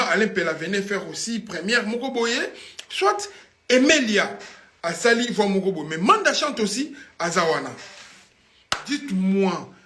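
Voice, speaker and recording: A man's voice: high voice, sharp tone, slight background noise. A Congolese man with a Congolese French accent, a guest musician rambling through memories in a casual studio chat, switching between languages and breaking into bits of song. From Congo (Kinshasa). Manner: rambling, mixes French and Lingala, hums song snippets. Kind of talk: casual studio interview storytelling.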